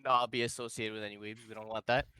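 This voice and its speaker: Bright, crisp young man making a joking pitch. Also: fast, no echo. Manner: deadpan and mock-formal, with many um and uh. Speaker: team captain.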